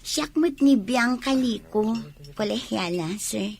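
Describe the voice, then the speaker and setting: A woman plays a young, calculating college girl with an Ilocano accent in a comic radio drama. The voice sits slightly high and has a husky grain. She is bold and slippery in manner. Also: slow, darkish tone, heavily acted. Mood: innocently polite.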